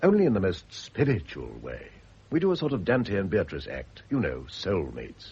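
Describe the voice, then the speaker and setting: Deep-voiced, suave British philanderer. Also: male voice, wildly swooping pitch, moderate pace, darkish tone, husky, heavily acted. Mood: wry, teasing. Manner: urbane, sardonic, dismissive. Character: suave, evasive married gentleman, a teasing philandering seducer. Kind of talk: 1970s radio drama acting. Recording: dull vintage radio recording with faint hiss.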